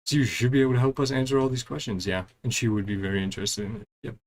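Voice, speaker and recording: A man gives casual let's-play commentary in a medium-pitched voice. He reads the game's dialogue out loud in a conversational way. Between lines he drops in brief, chatty, murmured reactions. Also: rapid-fire. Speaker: gaming streamer playing through a story quest.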